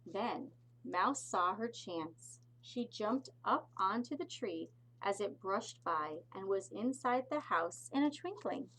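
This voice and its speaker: A woman teacher reads a picture book aloud for young children, expressively and deliberately, adding little explanatory asides. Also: medium pitch, lively intonation, a bit bright, low-quality recording. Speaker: pre-K teacher reading aloud.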